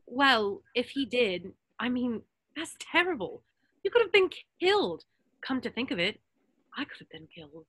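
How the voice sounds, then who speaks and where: Female actor as schoolgirl witch, clever, brisk, slightly high, dry sound, fantasy table read. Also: very animated, fairly bright tone, husky, no echo, full character voice. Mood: indignant.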